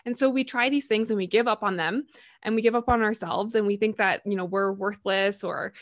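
A woman's voice: medium pitch, fairly quick. A woman health coach talking in a casual conversation, chatty and full of "like" and "you know".

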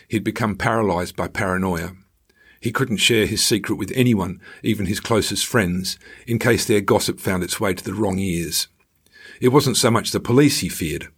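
A man narrates a written travel story in a low voice. His delivery is measured, like an author reading his own memoir, with a dry, ironic edge. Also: breathy edge.

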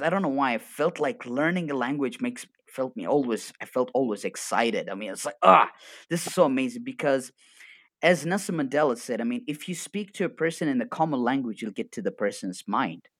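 A man whose first language is Arabic, an energetic guest chatting on a live podcast and laughing often. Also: mid-range voice, no echo, clean studio sound. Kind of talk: casual live-stream chat.

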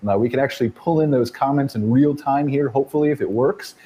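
A man's voice: mid-range voice, quick pace, mellow, slight background noise. A man hosting a casual online chat show, upbeat and joking with his co-hosts. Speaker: host.

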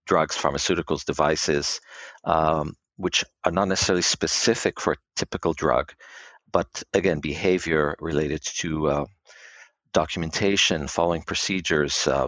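A middle-aged man from Israel, a biotech quality expert, answering podcast interview questions in a measured, halting way with many fillers. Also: rumbling low, fairly even intonation, a bit bright, no room sound.

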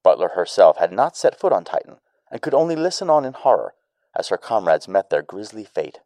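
A grave male news reporter, played by an actor, reads a formal bulletin for an audio drama. His voice is lowish, dark and soft-edged, in a very dry space. The delivery is measured and sober. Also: very animated, dry recording.

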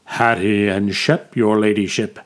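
A man voicing a giant tent guard who intones slowly in a deep voice. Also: little pitch movement, natural voice. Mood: solemn. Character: giant turbaned male tent guard, imposing.

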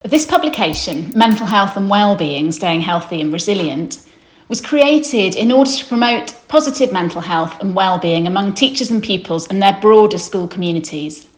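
A woman presents a publication in a steady, formal read-out voice. She speaks at a middle pitch for a woman, and the recording carries a noticeable roomy echo. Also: normal speed, faint music behind. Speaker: senior consultant presenting a publication. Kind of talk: scripted presentation.

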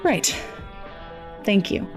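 A woman playing a shy, anxious, polite newcomer in an audio drama, speaking haltingly. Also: soft background music. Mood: nervous. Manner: hesitant, halting. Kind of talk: naturalistic audio drama acting.

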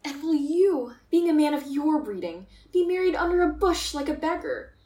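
A woman playing a meddling, scornful gentleman in a Shakespearean radio play, theatrical and admonishing, in an echoey room. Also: high voice, animated, normal speed.

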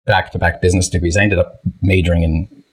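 Very deep, husky-voiced man in his fifties. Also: level tone, fast talker. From Israel. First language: Hebrew. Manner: hesitant, many restarts and fillers. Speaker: podcast guest. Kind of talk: casual interview storytelling.

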